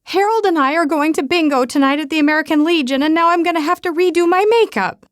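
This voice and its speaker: A woman doing a loud weepy Midwestern aunt. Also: high voice, quick pace, no echo, studio quality, pitched above the performer's own voice, natural voice. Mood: gushing. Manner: loud, gushing, tearful.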